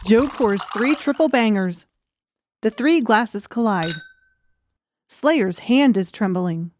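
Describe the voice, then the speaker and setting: A woman's voice: a little high, expressive, takes it slowly, a little mellow, slight background noise. A woman reading scripted narration as the narrator of an audiobook.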